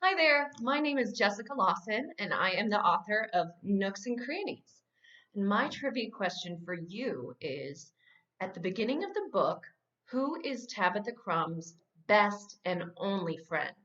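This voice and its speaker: Mid-pitched woman, slightly lo-fi recording. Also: sing-song, a little slow, fairly bright tone. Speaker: author. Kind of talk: book trivia presentation. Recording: slightly dull, lo-fi sound.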